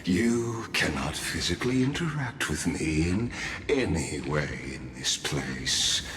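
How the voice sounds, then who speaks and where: An actor voicing an enigmatic, calculating cosmic wanderer in a video game, speaking formally and grandly with deliberate pauses. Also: male voice, deep voice, big pitch swings, fairly bright tone, slight background noise, heavily acted. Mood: condescending, calm. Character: enigmatic, calculating male cosmic wanderer offering sinister bargains. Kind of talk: scripted video game character dialogue. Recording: echoey, with music underneath. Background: background music.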